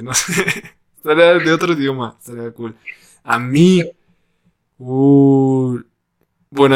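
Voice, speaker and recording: A man with a Mexican accent hosting a casual podcast chat, slangy and laid-back, asking his guests questions. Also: medium pitch. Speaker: podcast host.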